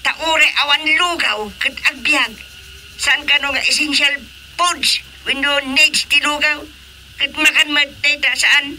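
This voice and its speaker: A man's voice: very high-pitched, little pitch movement, a little slow, bright, forceful tone, a little hiss or hum, heavily acted, rough recording. A man with an Ilocano accent playing an indignant, emphatic village chairman in a radio comedy drama. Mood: agitated complaint. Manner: emphatic, complaining. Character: indignant male village chairman lodging a grievance.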